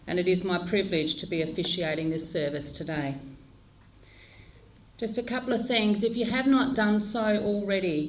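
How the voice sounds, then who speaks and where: A woman leading a funeral service, speaking in a slow, measured and solemn way. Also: mid-range voice, a little hiss or hum. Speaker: funeral celebrant.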